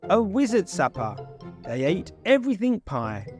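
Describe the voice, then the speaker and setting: A man reading a children's story aloud as an expressive storyteller. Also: high-pitched, measured pace, music in the background.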